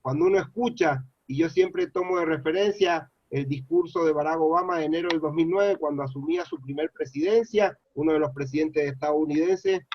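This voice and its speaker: A man's voice: a little high, fairly quick, hardly any pauses, no echo. A middle-aged man from Mendoza with an Argentine accent, giving an earnest, rambling public lecture full of "eh" and "este" fillers. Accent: Argentine Spanish. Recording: dull lo-fi dry sound.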